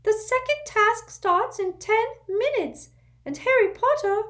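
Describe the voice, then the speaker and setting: Squeaky, eager, servile, male house-elf, broken grammar, very high, put on by a woman reader. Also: expressive, a little slow, fairly bright tone, full character voice. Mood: urgent, squeaky. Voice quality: squeaky, broken grammar, says sir constantly.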